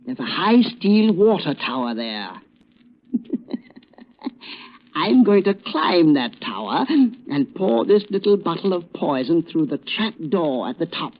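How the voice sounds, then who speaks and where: An actor playing an insane, gloating scientist poisoner in old radio style, maniacal and taunting, swinging from hushed menace to shrill cries. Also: male voice, sing-song, takes it slowly, pauses often, heavily acted. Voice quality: high, cackling voice. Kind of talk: 1930s radio acting. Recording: dull, noisy old radio recording.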